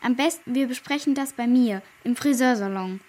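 A woman playing a plucky, eager girl who briskly organises her friends in a German children's radio drama. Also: a little high, darkish tone, natural voice. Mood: eager, determined.

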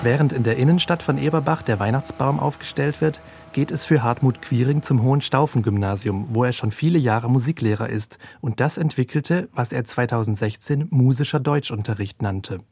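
A man's voice: medium pitch, moderate pace, hardly any pauses, darkish tone, husky. A man narrating a scripted news feature as a reporter. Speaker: radio reporter.

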